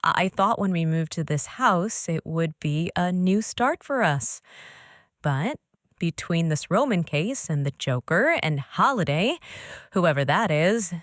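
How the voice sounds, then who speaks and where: An actress playing a gentle, uncertain young wife. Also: female voice, on the low side, lively intonation, measured pace, dry recording, clean studio sound. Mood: wistful.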